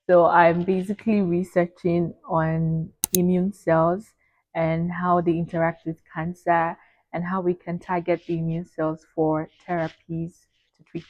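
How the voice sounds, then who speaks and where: A woman's voice: fairly low pitch, darkish tone, no echo, dull audio. A woman in her twenties from Eastern Nigeria, chatting as an interview guest and laughing often. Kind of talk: casual interview chat.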